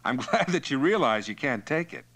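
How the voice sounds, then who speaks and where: A man reads as a captive patrolman in a storybook tale. He is wary and stubborn, with a dry needling edge. His voice is forceful and very animated in pitch. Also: normal speed. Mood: sly, needling. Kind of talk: acted dialogue in audiobook narration.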